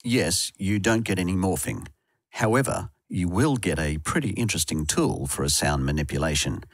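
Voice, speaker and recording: Man, deep voice, animated intonation, scripted tutorial narration, dry recording. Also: moderate pace, dry recording. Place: close dry voice-over recording.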